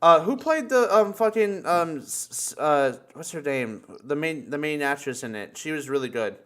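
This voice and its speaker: A man chatting casually as a podcast host, excitable and halting, swearing freely. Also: a little high. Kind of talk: casual, profane group chat.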